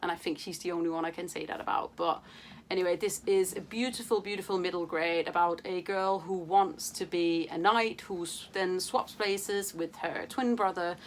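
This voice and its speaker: A young woman talks about books in a loose, playful way, with plenty of fillers and the odd swear word. Her voice sits a little low. A faint hiss runs under the recording. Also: steady tone, normal speed. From Denmark. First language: Danish. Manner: chatty, playful, swears casually, many fillers. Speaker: book vlogger. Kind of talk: casual chat to camera.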